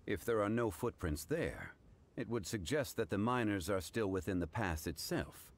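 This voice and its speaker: An actor playing a composed, dignified gentleman in a video game, speaking formally in a measured, stately way. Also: on the low side, expressive. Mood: calm, assured. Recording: faint background ambience noise.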